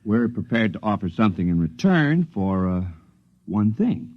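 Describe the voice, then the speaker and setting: An eager, polite envoy, husky. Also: male voice, lowish voice, big pitch swings, moderate pace, no echo. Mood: earnest. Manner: polite, eager, a little hesitant. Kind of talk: 1950s radio drama acting. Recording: lo-fi 1950s radio broadcast, dry studio.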